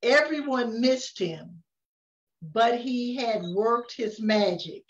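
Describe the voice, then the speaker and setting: An older woman reading a picture book aloud in an expressive storytelling manner, with dramatic emphasis. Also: a little high, slow, dull audio. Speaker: story reader. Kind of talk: picture-book read-aloud with casual asides.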